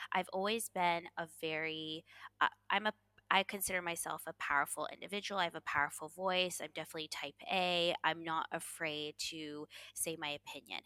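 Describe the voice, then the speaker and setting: A woman's voice: low voice, bright, forceful tone, no echo. A young adult woman, a coach, answering interview questions at length in a confident, self-assured way. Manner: confident, self-assured, talks in long flowing runs with "you know". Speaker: coach, podcast guest.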